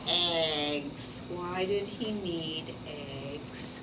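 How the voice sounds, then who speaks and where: A woman's voice: sits low, drawn-out words. A woman teacher reading a picture book aloud to young children in a classroom, encouraging and instructive as she talks to them. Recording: distant mic, very noisy classroom.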